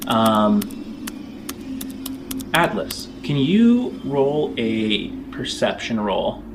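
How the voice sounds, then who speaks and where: A man narrating a tabletop game as game master, describing scenes in halting, improvised phrases. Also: medium pitch, very animated, background noise. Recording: background music under the voice. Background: music.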